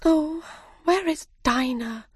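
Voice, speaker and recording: A woman's voice: sits high, slow pace, no room sound, studio quality, pitched above the performer's own voice. A woman voicing a polite, earnest little girl in a children's storybook, with a light childlike manner. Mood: fond. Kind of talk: children's storybook character reading.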